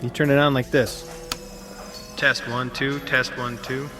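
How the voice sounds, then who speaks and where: A male actor as an agreeable workman in an audio drama. His voice is mid-pitched and businesslike, plainly obliging, with light music in the background. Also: little pitch movement, a little slow, lightly acted. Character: agreeable, practical workman reporting to his employer.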